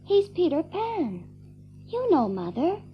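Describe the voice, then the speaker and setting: A woman's voice: extremely high pitch, huge pitch swings, slow pace, highs cut off, faint music behind, exaggerated voice. A woman playing an earnest, trusting, matter-of-fact little girl in a children's audio drama. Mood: earnest, insistent.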